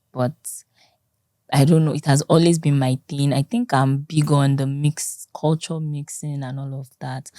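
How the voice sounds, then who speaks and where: Loud, low-voiced Nigerian woman. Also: dry recording, clean studio sound. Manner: loud, expressive, laughs often. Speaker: guest. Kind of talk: conversational interview.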